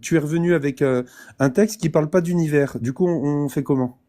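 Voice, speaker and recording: A man's voice: a little high, fairly quick, dark tone. A man hosting a casual live debate, who stumbles over words and presses his guest with questions. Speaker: host.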